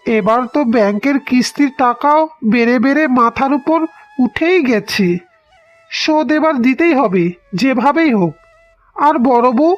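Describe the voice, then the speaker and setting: A woman voicing a harried, plaintive village husband in a cartoon story. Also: high voice. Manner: plaintive, complaining. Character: harried, worn-out village husband drowning in debt. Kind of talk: Bengali cartoon story acting. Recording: dull, lo-fi sound under music.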